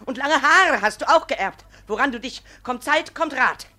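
A woman's voice: sits high, expressive, normal speed, pushed and bright. An actress playing a stern, indignant mother bringing a complaint in a classic comedy radio play, emphatic and scolding. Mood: bitter scolding.

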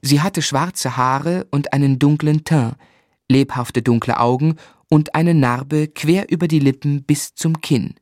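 An actor narrating a German radio drama as a man looking back on his youth, in a measured, reflective literary style. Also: on the high side, a little mellow, dry recording, studio quality, natural voice. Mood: observant.

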